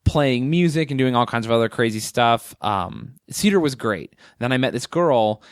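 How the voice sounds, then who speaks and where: A man's voice: mid-range voice, expressive, normal speed, clean tone, no room sound, clean studio sound. A man in his late twenties, a guest comedian telling his story in a candid, rambling, self-mocking way during a casual interview. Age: 20s.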